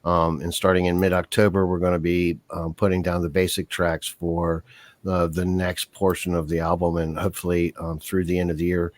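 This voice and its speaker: An older man hosting a live podcast, with an easygoing, rambling way of talking full of fillers, his voice a little hoarse. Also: deep voice, monotone, normal speed. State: hoarse, voice giving out. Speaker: podcast host. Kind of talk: casual live podcast chat.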